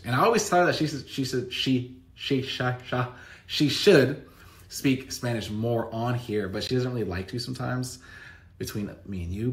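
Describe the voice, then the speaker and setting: A man in his thirties chatting casually to camera, answering viewer questions with lots of fillers and restarts, recorded close on a phone in a bright room at home. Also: fairly low pitch. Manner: rambling, restarts often, many "like" and "um" fillers.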